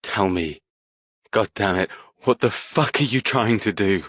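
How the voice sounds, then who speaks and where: A man's voice: on the low side, animated, a little slow, no echo, highs cut off. An actor playing a cold, cruel, arrogant young man in an audiobook, sardonic and controlled in manner. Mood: angry. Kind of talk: audiobook character dialogue.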